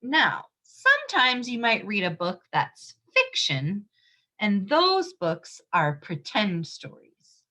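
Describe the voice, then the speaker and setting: Animated, bright-voiced woman reading to children. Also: on the low side. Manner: expressive, simple child-directed explaining, emphatic, asks listeners questions. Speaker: read-aloud storyteller for young children. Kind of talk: picture-book read-aloud with teacherly asides and questions.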